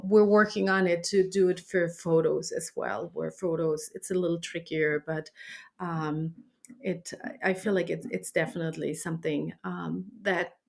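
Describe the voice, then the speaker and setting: A woman with a German accent, a native German speaker, talking conversationally as an interview guest in halting, hesitant phrasing. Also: medium pitch, fairly bright tone. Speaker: guest, dating app founder.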